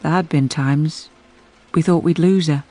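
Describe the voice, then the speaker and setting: A woman's voice: low voice, unhurried, dark tone, faint music behind. An actress playing a sensible, level-headed woman servant who narrates a gothic radio drama in a sober manner. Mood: reflective. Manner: sober, reasoning. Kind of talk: measured dramatic storytelling for radio.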